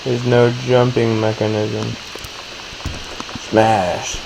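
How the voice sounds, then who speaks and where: A man's voice: on the low side, level tone, drawn-out words, vocal fry, background noise. A man chatting casually to himself as he plays a game. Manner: musing to self. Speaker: game player. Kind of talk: casual gameplay chat.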